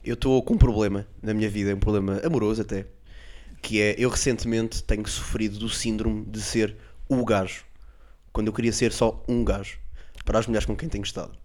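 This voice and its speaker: A man's voice: lowish voice, brisk. A man with a European Portuguese accent co-hosting a podcast, chatting casually and telling comic stories with big laughs. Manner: animated, laughs loudly at his own stories. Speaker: podcast co-host. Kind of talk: casual comedic chat.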